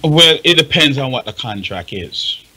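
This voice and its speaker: A man's voice: medium pitch, expressive, normal speed, sharp tone, husky, rough recording. A man with a Jamaican accent on a talk panel, explaining and advising emphatically and repeating himself for stress. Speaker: radio panelist advising on music rights.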